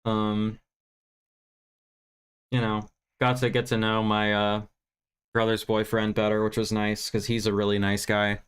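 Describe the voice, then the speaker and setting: A man's voice: lowish voice, monotone, unhurried, long pauses, clear, resonant tone. A tired American man getting over stuffy sinuses, chatting casually on a livestream in a rambling, candid, self-deprecating way with some swearing. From United States (Virginia). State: tired, recovering from sinus congestion. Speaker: livestream film review host.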